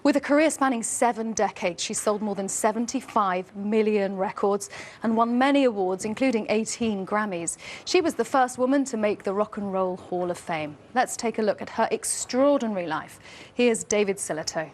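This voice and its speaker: A woman's voice: medium pitch, normal speed, slight background noise. A woman with a British accent presenting a scripted news introduction.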